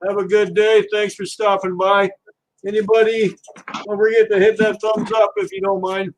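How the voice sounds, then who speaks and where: A man's voice: high-pitched, little pitch movement, normal speed, rough recording. A man hosting a casual livestream, chatting easily with viewers while he works on scrap. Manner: easygoing, chatty, talks to viewers while working. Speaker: livestream host.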